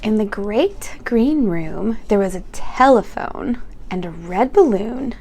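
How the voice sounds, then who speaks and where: A young adult woman hosting a children's read-aloud channel, reading a picture book in a slow, gentle, sing-song storytime way. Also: mid-range voice, expressive.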